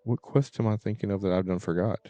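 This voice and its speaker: A man's voice: fairly low pitch, steady tone, fast talker, dark tone, no echo. A man livestreaming a game, giving casual commentary over it. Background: game music.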